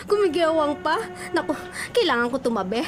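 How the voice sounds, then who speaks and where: A woman playing a flustered, feisty, girlish young woman in a Filipino radio drama, with an expressive, whiny delivery. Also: high voice, a little fast, soft background music. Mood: impatient, anxious. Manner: expressive, whiny, Taglish-sprinkled.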